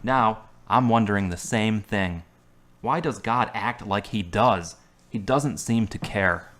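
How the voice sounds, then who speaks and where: A man's voice: lowish voice, slow, fairly bright tone. A man playing a wry, dry-humored carpenter. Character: wry male carpenter recovering from surgery. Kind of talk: amateur audio drama.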